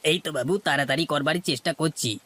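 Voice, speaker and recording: A man's voice: on the high side, a little fast, fairly bright tone. A man voicing a deferential market stall helper in a cartoon, hawking fish and meat politely. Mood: obedient. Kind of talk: Bengali cartoon voice acting.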